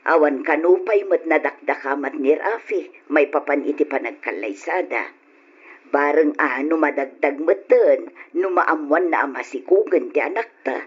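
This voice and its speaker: An older woman with an Ilocano accent playing a doting, excitable mother in an old radio drama. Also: low voice, moderate pace, breathy edge. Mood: delighted. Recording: dull lo-fi radio drama recording.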